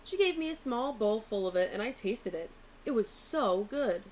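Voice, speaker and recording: A woman narrating a children's storybook aloud in an expressive, deliberate way. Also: fairly high pitch, a little hiss or hum. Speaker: teacher reading a picture book aloud.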